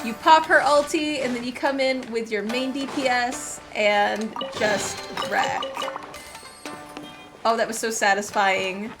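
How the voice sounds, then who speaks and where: Chatty woman streamer over loud game music. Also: on the high side, fairly even intonation, slow pace. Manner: chatty and playful. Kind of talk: casual live gameplay commentary. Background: loud game music and combat.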